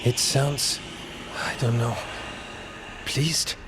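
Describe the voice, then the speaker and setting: A gentle, slow-spoken game warden. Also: male voice, medium pitch, fairly even intonation, noisy background. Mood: uneasy, brooding. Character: gentle, earnest male warden, uneasily attuned to eerie evil. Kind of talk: dramatic video game acting.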